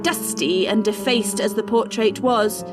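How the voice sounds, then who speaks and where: A woman acting as the commanding storyteller of a radio play, narrating in a measured, deliberate, dramatic way. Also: mid-range voice, steady tone, music in the background, lightly acted. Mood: measured storytelling. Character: prim, commanding storyteller narrating a literary tale. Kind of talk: theatrical scripted narration.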